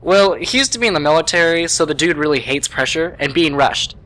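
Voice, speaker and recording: A man's voice: fairly high pitch, animated, fairly quick, pushed and bright, poor audio. A man playing an easygoing young mechanic and former racer in an amateur radio play. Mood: casual, chatty.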